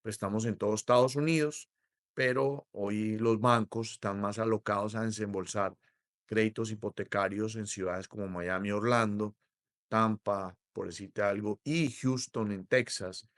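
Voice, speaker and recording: Man, Colombian accent, low voice, dry close sound, measured, hesitant. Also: fairly even intonation, fairly bright tone, no echo. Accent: Colombian Spanish. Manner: measured, explanatory, frequent hesitations. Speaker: mortgage lender, interview guest. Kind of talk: interview conversation.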